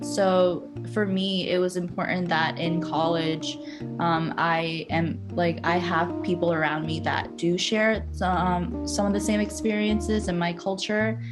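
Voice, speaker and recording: A young woman from Korea whose first language is Korean, a student answering interview questions in a measured way. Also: lowish voice. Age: young adult.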